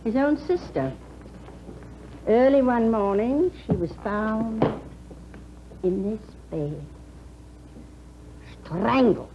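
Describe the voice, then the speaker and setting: An actress playing an elderly aunt who speaks slowly and ominously, with eerie chuckles, in a 1950s radio drama. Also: female voice, on the high side, lively intonation, exaggerated voice. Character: elderly aunt, slow sinister family storyteller. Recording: dull, hissy 1950s radio broadcast.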